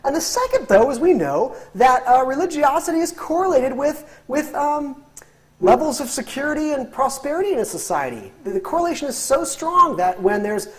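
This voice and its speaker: A man's voice: very high voice, big pitch swings, normal speed, fairly bright tone. A man in his thirties giving a lecture in a classroom, speaking animatedly with frequent restarts and fillers, on a lapel mic. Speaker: guest lecturer. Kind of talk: lecture with audience questions. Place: classroom with whiteboard.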